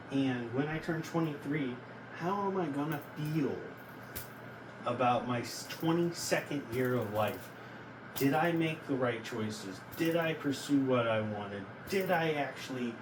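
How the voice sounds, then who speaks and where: Echoey, distant young man rambling to camera. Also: mid-range voice. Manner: rambling, halting, self-questioning. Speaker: vlogger. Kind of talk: casual self-reflective vlog talk. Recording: echoey, noisy, distant from mic.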